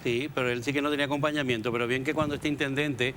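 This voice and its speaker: A man's voice: mid-range voice, fairly even intonation, rapid-fire, noisy background. A man hosting a studio panel discussion, steering the talk and wrapping up segments. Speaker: talk show host.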